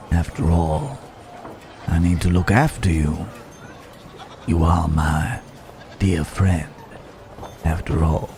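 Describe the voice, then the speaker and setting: A man playing a roguish, wry but protective Wild West cowboy in an ASMR roleplay, with a halting, teasing drawl. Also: sits low, vocal fry, darkish tone, heavily acted. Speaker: audio roleplay voice actor. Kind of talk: ASMR roleplay acting, addressed to the listener.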